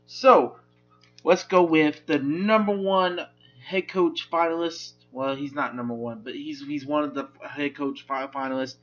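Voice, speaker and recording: Guy hosting a sports talk show, kinda high bright voice, rambles and restarts a lot. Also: steady tone, measured pace, highs cut off. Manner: loose, rambling delivery with frequent restarts. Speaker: sports podcast host.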